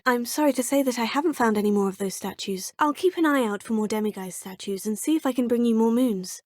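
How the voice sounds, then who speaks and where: An earnest young student witch, courteous and formal in every line. Her voice sits fairly high and is recorded very dry, close in a studio booth. She speaks with measured calm in game dialogue. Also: no room sound. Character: young female student witch, polite and composed. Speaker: player character. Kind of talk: video game voice lines.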